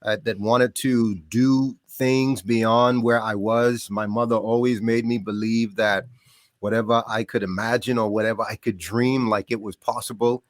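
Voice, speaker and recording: A middle-aged man from the Bahamas, a podcast guest telling stories about growing up in a lively, casual way. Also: on the low side, measured pace, dry recording, studio quality. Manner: animated, laughs often, trails off into quieter asides. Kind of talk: casual storytelling.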